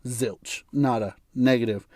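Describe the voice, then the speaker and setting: A hoarse, raspy man of middle pitch talks through his views in a casual solo podcast, chuckling as he goes and often backing up to restart a sentence. He is from the United States, and his voice sounds close and dry, with little room sound. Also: fairly even intonation, measured pace, darkish tone, dry recording. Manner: rambling and opinionated, with frequent self-restarts and chuckles. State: hoarse from bronchitis. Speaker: podcast host.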